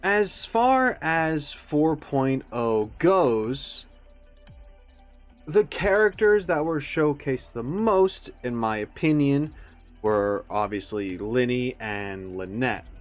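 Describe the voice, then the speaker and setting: A man's voice: mid-range voice, animated, slow pace. Theatrical man hosting, music behind. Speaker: reaction video host. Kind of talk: casual commentary to viewers.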